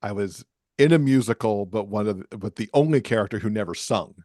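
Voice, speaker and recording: A man with a bass voice chatting casually as a podcast guest, talking about himself in a self-deprecating way. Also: a little fast, darkish tone, dry recording, studio quality. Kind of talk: casual chat.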